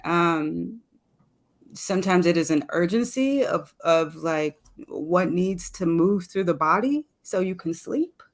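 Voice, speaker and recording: A woman's voice: fairly low pitch, animated, slow pace. A woman answering as a guest in a casual conversation, reflective and searching as she tentatively works out her thoughts. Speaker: poet and professor, guest. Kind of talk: casual, unscripted interview answer.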